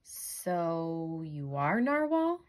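A woman's voice: low voice, sing-song, very slow, a little mellow, voice pushed down, full character voice. A woman voicing an anxious, exasperated jellyfish, fretful and incredulous, in a picture-book read-aloud.

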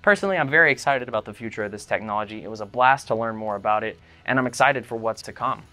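A man's voice: on the low side, very fast, pushed and bright, soft background music. A young man in his twenties presenting a scripted explainer as host, upbeat and running through lists of features in an indoor showroom. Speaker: host of a boat dealer's learning series.

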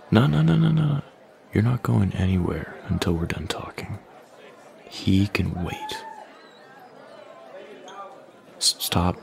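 Man playing a slow, gravelly, menacing young bully. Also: exaggerated voice. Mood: commanding. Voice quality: low, gravelly, often half-whispered. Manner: slow, taunting, domineering. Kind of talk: boyfriend ASMR roleplay, close and breathy.

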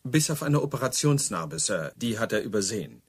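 An actor playing a deferential, principled police subordinate in a radio play, speaking formally with dry, pedantic politeness. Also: male voice, on the low side, big pitch swings, fairly quick, breathy edge, heavily acted. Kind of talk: German radio play dialogue.

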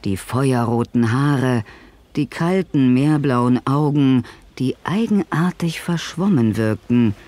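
An actor as the storyteller of a spooky tale, giving measured scripted narration in an audio drama. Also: male voice, mid-range voice, lively intonation, a little mellow.

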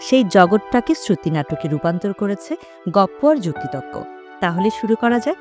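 Woman presenter speaking over clear music. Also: medium pitch, very animated, normal speed, a little mellow. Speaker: presenter introducing an audio drama. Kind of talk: scripted introduction. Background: clear background music.

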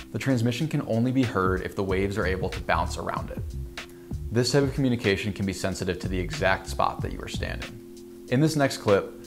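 Young guy doing a scripted how-it-works talk, steady and clear, music under it. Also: lowish voice. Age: young adult. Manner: clear, even, informative delivery. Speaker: company presenter. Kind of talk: scripted product explainer.

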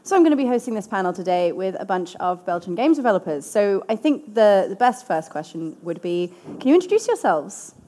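A woman's voice: mid-range voice, big pitch swings, a little fast, few pauses, warm tone. Woman moderating a live panel. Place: small venue stage before a seated audience.

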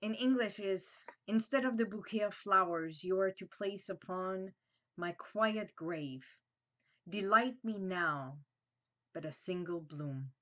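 A woman in her fifties, originally from Iran with Armenian as her first language, hosting a reflective talk to camera from a small room at home and letting her phrases trail off softly. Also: mid-range voice, highs cut off. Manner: soft and slow, trails off at phrase ends. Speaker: host.

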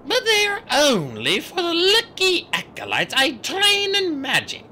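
A man reading an audiobook voices a haughty, aloof goddess of magic who speaks slowly and imperiously. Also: extremely high pitch, huge pitch swings, sharp tone, slight background noise, voice pushed up, heavily acted. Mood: wistful.

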